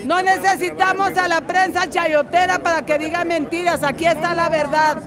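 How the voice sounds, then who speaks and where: High-pitched, defiant older woman activist. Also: steady tone, moderate pace. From Guatemala. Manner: combative, defiant, salty-tongued. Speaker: political activist being interviewed at a rally. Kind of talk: impassioned street political interview. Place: busy city street outdoors. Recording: outdoor street crowd noise, music behind.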